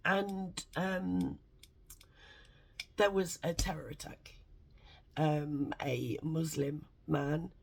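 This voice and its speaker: A woman with a congested, bunged-up nose, rambling excitedly and swearing a lot in a casual vlog from home. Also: sits low, extremely slow, creaky, long pauses, slight background noise. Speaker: vlogger.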